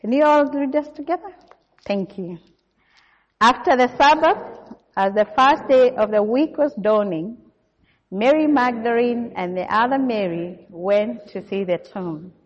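A middle-aged Kenyan woman delivers a sermon in an unhurried, measured voice with lots of small fillers. The recording is dull and lo-fi, and her voice rings out with a long church-hall reverb. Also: fairly high pitch. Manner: measured, warm church address with frequent "uh" fillers. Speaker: guest preacher.

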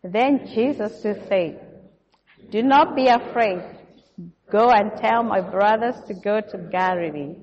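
A middle-aged woman from Kenya with a Kenyan accent, giving a guest sermon in a church and speaking in a measured way with frequent "uh" fillers. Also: medium pitch, darkish tone. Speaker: guest preacher. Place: church hall. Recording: dull recording with long hall reverb.

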